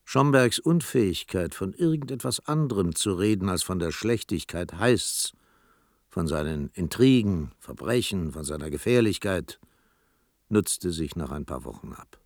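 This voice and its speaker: A man with a very deep voice narrates a radio drama. He tells the story in a measured, literary manner, with a very dry studio sound around the voice. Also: animated, no room sound.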